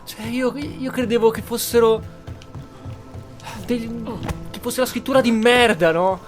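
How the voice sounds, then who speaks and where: A man's voice: extremely high pitch, fairly even intonation, measured pace, soft background music. An Italian man giving casual commentary on a roleplay game playthrough. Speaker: game streamer.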